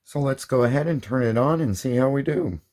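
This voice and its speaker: Man, medium pitch, plain step-by-step delivery, hands-on tutorial. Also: fairly quick, a little mellow. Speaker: hobbyist presenter.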